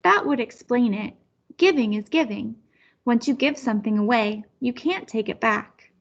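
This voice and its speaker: A woman's voice: a little high, moderate pace, dull audio. A woman voicing a curious, caring little girl in a storybook, wondering and questioning.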